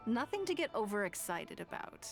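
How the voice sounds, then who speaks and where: Composed noble lady, medium pitch, measured, articulate, authoritative, video game, soft music behind. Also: sing-song, vocal fry. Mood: gentle, reassuring. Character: poised, composed adult lady of authority, kindly but stern.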